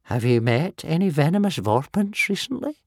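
A very old male soothsayer from a children's storybook. The voice is raised, wheezy and croaky, swinging in pitch. He speaks slowly and gloomily, muttering like a doddery healer. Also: very animated, no room sound, clean studio sound. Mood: muttering, grave. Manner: slow, muttering, tut-tutting.

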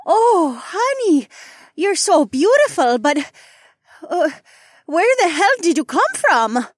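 A woman playing an emotional, flustered middle-aged mother in an audiobook, halting as she talks. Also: very high-pitched, very animated, dry recording, studio quality, heavily acted. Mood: shaken, tender. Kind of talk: audiobook character acting.

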